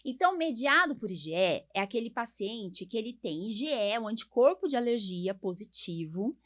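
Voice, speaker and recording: Casting: Brazilian female podcast host, medical expert, fairly high pitch, informal explanatory chat peppered with tag questions. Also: very animated, moderate pace. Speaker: podcast host, allergist doctor. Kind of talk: casual podcast conversation.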